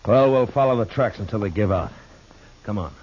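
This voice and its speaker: An actor playing a stern, weary American marshal in a 1950s radio western, terse and grim in dialogue and narration. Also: male voice, lowish voice, animated, a little fast, heavy background noise, close to an everyday voice. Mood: terse, watchful. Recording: muffled vintage radio recording with music.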